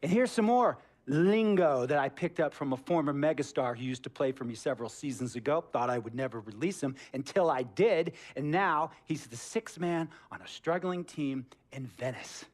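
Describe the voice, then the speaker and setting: A man's voice: fairly high pitch, normal speed, few pauses, natural voice. A man playing a bossy billionaire team owner. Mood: stern. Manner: bossy lecturing with sudden loud outbursts. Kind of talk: video game cutscene dialogue.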